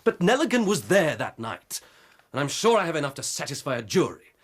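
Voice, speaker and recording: An actor playing an eager, stubbornly confident British police inspector in a radio drama, earnest and insistent. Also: male voice, fairly high pitch, sing-song, fairly quick.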